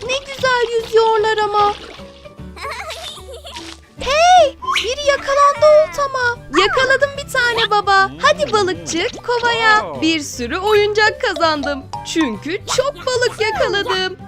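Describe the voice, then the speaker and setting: Cartoon lion cub: very high, swooping, cheeky and greedy little boy, music behind. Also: animated, slow, full character voice. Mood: delighted. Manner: exclamatory, childlike, cheeky. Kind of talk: dubbed children's cartoon.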